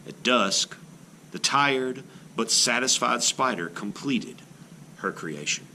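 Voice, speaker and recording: A man reading a children's story aloud in an expressive, theatrical style, like a teacher to a class. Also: mid-range voice, takes it slowly, a little hiss or hum, poor audio. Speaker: art teacher reading a picture book aloud.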